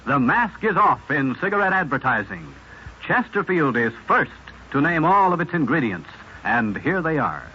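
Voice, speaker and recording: Animated 1950s radio ad announcer, a man. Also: on the high side, moderate pace. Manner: emphatic, polished salesman delivery. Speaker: advertising announcer. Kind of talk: 1950s radio commercial, scripted pitch. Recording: muffled old radio recording, faint noise.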